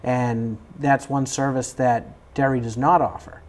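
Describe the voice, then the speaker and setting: An American man speaks as an interview guest from a fire service. His voice sits at a medium pitch, and he explains procedures in unscripted, conversational speech. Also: steady tone, measured pace. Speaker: fire department staff member, interview guest.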